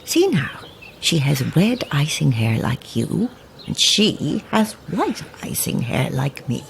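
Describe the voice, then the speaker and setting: A sweet old lady in a radio horror drama, low in pitch and swooping melodically as she coos and coaxes like a grandmother. Something faintly sinister sits under the doting manner, and outdoor noise with birdsong runs behind her slow speech. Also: big pitch swings, creaky, heavily acted. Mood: doting, coaxing. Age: older. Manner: cooing, coaxing, grandmotherly. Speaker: actor in audio horror drama. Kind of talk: naturalistic immersive radio drama acting.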